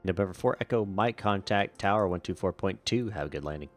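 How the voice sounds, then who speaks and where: A man acting as a virtual air traffic controller, switching between radio phraseology and casual, relaxed chat to a stream. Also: low voice, quick pace, faint music behind.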